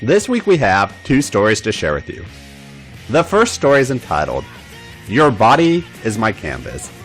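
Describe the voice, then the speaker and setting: A man's voice: fairly low pitch, huge pitch swings, normal speed, fairly bright tone, faint music behind. A man hosting a podcast, reading a story aloud in scripted narration. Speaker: podcast host and story reader.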